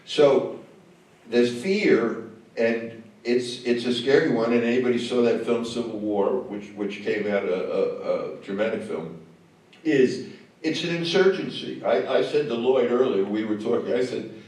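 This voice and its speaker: A man's voice: mid-range voice, darkish tone. Man speaking hesitantly in an echoing hall. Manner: halting, many fillers and restarts. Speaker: film director in post-screening Q&A. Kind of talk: on-stage conversation. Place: stage in a screening venue. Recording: echoey hall, mic far off.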